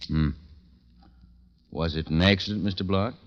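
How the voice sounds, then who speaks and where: An actor playing a skeptical night-shift police sergeant, halting and patronizing, in old radio drama style. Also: male voice, sits low, fairly even intonation, close to an everyday voice. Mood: puzzled questioning. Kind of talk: 1940s-50s radio suspense acting. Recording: dull, muffled vintage radio recording.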